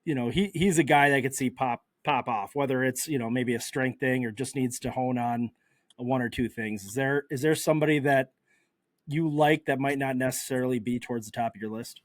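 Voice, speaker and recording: A man hosting a casual sports podcast who rambles and restarts his words as he builds up his questions. His voice sits at a middle pitch, recorded dry and close with no room sound. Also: a little fast, no echo. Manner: rambling, repeats words while setting up questions. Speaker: podcast host.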